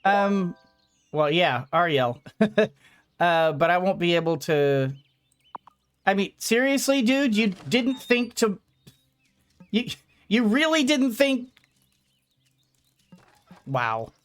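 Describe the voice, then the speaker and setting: Slow, smooth-voiced woman gamer. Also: mid-range voice, very animated. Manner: halting, thinking aloud. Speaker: video game streamer. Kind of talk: casual gameplay commentary. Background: game music.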